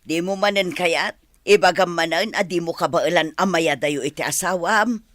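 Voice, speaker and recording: A woman's voice: fairly low pitch, normal speed, no echo, studio quality, close to an everyday voice. An actress with an Ilocano accent playing a coaxing, reproachful older mother in a radio drama. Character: coaxing, reproachful older mother, pressing her adult daughter.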